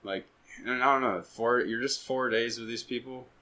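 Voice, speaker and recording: A young man co-hosting a podcast, chatting casually in a relaxed, rambling, self-deprecating way. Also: medium pitch, moderate pace. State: recovering from being sick. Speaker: podcast co-host. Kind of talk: casual chat.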